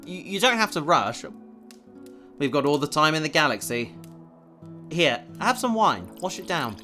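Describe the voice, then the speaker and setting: Bright-voiced, earnest male spacefarer. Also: a little high, sing-song, moderate pace, faint music behind. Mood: patient, gentle. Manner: sincere, slightly awkward, conversational. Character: earnest, determined human spacefarer, kindly and awkward. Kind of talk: science fiction audio drama acting.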